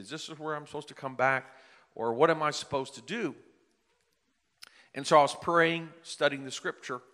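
A man's voice: fairly high pitch, pushed and bright. A man in his late fifties preaching a sermon, earnest and building to emphatic peaks as he tells his own story. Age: 50s. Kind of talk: sermon with personal testimony. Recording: long hall reverb.

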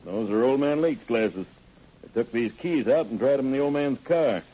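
An actor playing a folksy, matter-of-fact sheriff's deputy in an old-time radio crime drama. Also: male voice, mid-range voice, animated, moderate pace, breathy edge. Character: plainspoken, folksy sheriff's deputy showing evidence. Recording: tinny vintage radio recording.

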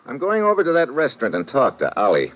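An actor playing a tough, wisecracking detective in old-time radio drama, with clipped, slangy hard-boiled talk. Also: male voice, medium pitch, huge pitch swings, fast. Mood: determined. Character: tough wisecracking male detective, dogged and wry. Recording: dull vintage radio recording.

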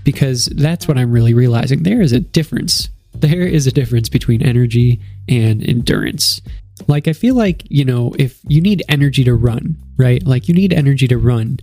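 A young man with a head cold talks in a nasal, stuffy voice at a mid pitch. He chats casually on his podcast, upbeat and rambling. Faint music sits behind him. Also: animated, normal speed, mellow. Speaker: podcast host. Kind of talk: casual solo podcast talk.

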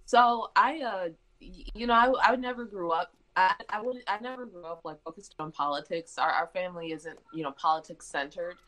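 A young woman in her twenties from Michigan talks as a guest on a casual show. Her voice sits low, and she speaks in a chatty way, often restarting her sentences and filling pauses. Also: sharp tone, rough recording. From Michigan, USA. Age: 20s. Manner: chatty, frequent restarts and fillers. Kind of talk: casual conversation.

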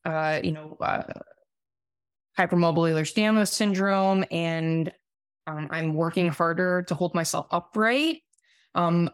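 A woman in her late thirties with a raspy voice, explaining things conversationally as an expert guest, often halting and restarting her phrases. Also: fairly low pitch, no echo, studio quality. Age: late 30s. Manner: halting, self-interrupting, many repeated words. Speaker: podcast guest, medical doctor.

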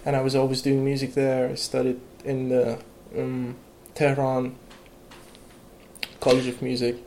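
A young man who grew up in Iran talks in a halting, unhurried way, with plenty of fillers and restarts. His voice has a gravelly, fry-laden edge at mid pitch. A faint hiss sits under the recording. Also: completely flat delivery, mellow. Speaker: guest, electronic music producer. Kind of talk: casual interview conversation.

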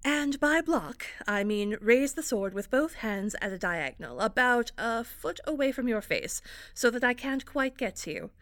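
A woman playing a strained, stern actress and stage combat teacher in an audio drama, speaking in a measured, dry, instructive way. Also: fairly high pitch, very animated, fairly bright tone. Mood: instructing.

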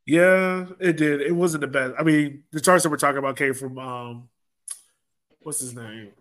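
A man's voice: fairly high pitch, moderate pace, a bit bright. A man co-hosting a soccer podcast, talking casually in a rambling, conversational way. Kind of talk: casual sports discussion.

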